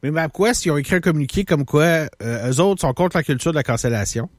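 A man's voice: on the high side, fast, dry recording. A man, co-hosting a radio show and eagerly quizzing his partner with news anecdotes. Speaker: radio co-host. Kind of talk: casual talk radio chat.

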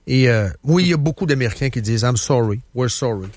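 A man's voice: medium pitch, lively intonation, quick pace, a little mellow, dry recording. A man with a Quebec French accent hosting a radio show, telling stories in a rambling way with many fillers. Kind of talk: casual talk radio chat.

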